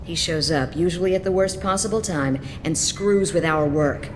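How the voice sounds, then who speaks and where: A jaded female mercenary lieutenant, acted by a woman for a video game. The voice is low, frank and businesslike with some bitterness, over clear ambient noise. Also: normal speed, natural voice. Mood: bitter.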